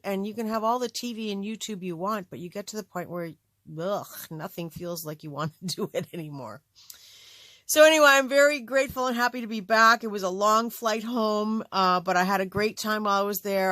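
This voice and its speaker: Slightly raspy middle-aged woman chatting. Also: lowish voice, a bit bright, no room sound, clean studio sound. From Canada. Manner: rambling, many fillers and restarts. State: slightly raspy voice after illness. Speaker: crafting channel host. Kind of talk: casual chat to camera. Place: home craft studio.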